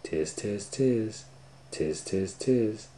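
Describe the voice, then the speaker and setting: A man's voice: on the low side, animated, very slow, mellow, slight background noise, voice pushed up. A man voicing a troop of teasing monkeys in a storybook, chanting a repeated nonsense sound. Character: mocking chattering tree monkeys.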